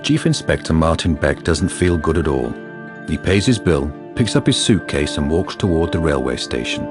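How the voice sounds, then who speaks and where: A deep-voiced narration for a crime drama over clear background music. He is measured and sober, with a dry wryness. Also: sing-song. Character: sober, wry male narrator of a crime story.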